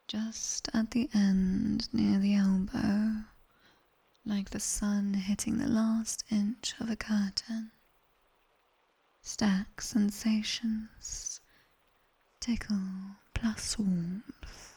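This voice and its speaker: Casting: actress as British nightmare demoness tutor for ASMR roleplay; breathy whisper, very slow, teasing but commanding, close to the mic. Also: female voice, medium pitch, steady tone, warm tone, heavily acted. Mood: hushed, instructing. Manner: measured, strict, teasing. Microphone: close binaural microphone.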